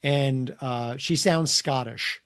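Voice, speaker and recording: A middle-aged man telling stories casually as an author guest in an interview, animated and prone to acting out dialogue. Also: medium pitch, takes it slowly. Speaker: guest, comics and children's book author.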